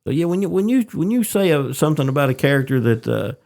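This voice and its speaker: Lively-pitched man chatting casually. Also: fast, gravelly. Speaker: podcast co-host. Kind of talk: casual pop-culture chat.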